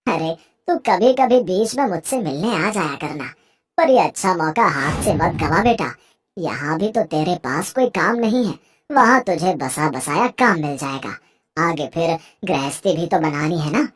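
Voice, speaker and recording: An actor voicing a gentle old widowed village father in a cartoon moral story, mild, trusting and fatherly. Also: mid-range voice, expressive, normal speed, close to an everyday voice. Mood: gently urging. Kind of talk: animated Hindi moral story acting.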